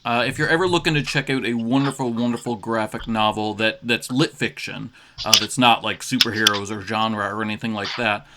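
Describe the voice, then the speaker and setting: A man's voice: lowish voice, a little fast, heavy background noise. A man hosting a radio interview in casual chat, rambling with fillers and restarts. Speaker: radio host.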